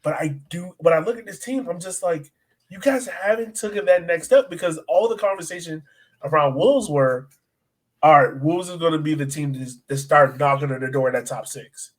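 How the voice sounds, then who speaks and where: A man's voice: fairly high pitch, normal speed, low-quality recording. A man hosting a sports podcast, chatting informally and repeating phrases for emphasis. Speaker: soccer podcast host. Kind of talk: casual sports discussion.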